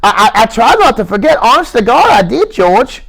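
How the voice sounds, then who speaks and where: A big, simple-minded man with the heart of a child, stammering and pleading in a very high, anxious voice, as a male reader puts him on. He sounds timid and eager to please, tripping over his words in this storybook reading. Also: very animated, moderate pace, gravelly, a bit bright, slightly rough, full character voice. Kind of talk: audiobook character reading.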